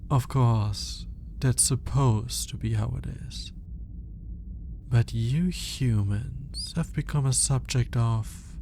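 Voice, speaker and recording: A man playing a cold, condescending alien scientist in an ASMR roleplay, speaking in a clinical, formal, deliberate way. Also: mid-range voice, gravelly, mellow, slight background noise. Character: cold, aloof male alien scientist studying a human captive.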